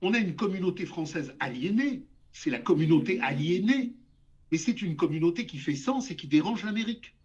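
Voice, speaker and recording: An older man holds forth as an interview guest, his voice pitched fairly high for a man. He lectures with emphasis, stacking up examples and repeating words as he drives his points home. The audio sounds dull and lo-fi. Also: lively intonation, a little fast, darkish tone. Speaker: guest essayist. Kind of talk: interview discussion.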